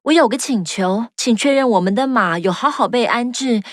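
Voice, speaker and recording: A woman voicing a polite, kind young princess in a children's cartoon, soft-spoken and grateful. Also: fairly high pitch, moderate pace, dry recording, clean studio sound, close to an everyday voice. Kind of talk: cartoon dubbing.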